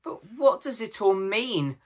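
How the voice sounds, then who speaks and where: A man's voice: high-pitched, very animated, slow, voice pushed up, exaggerated voice. A man voicing an anxious older-sister girl in a storybook, questioning in a hesitant manner. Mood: puzzled.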